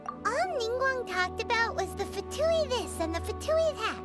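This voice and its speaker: Tiny childlike fairy sidekick, extremely high squeaky voice, very animated, excitable and nosy, video game, loud music behind. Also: female voice, unhurried, full character voice. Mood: earnest, inquisitive. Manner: speaks of self in third person, exclamatory. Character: small childlike floating fairy companion girl, excitable, nosy, chatty.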